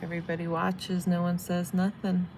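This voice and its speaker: A young woman rambles casually to her livestream viewers, her voice low and gravelly with some vocal fry. She chats loosely while doing her makeup. Faint background noise sits under the recording. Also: completely flat delivery, normal speed, darkish tone, rough recording. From San Diego, California. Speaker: livestreamer and tarot reader. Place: home in Vietnam.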